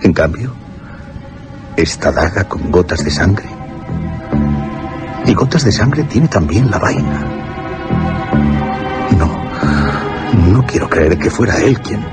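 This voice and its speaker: Give the voice of a troubled gentleman in a horror radio play. He is low-pitched and brooding, with extremely dramatic swings of intonation. Prominent music sounds behind him. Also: sing-song, takes it slowly, pauses often, heavily acted. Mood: horrified, anguished. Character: troubled, horrified English gentleman haunted by suspicion. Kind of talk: suspense radio drama acting.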